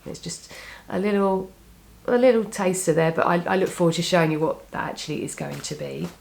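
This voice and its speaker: A woman with a cold, sounding a little croaky, chatting casually to camera about her knitting plans, rambling with frequent restarts. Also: lowish voice, a little fast, darkish tone. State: has a cold, slightly croaky. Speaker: knitting vlogger.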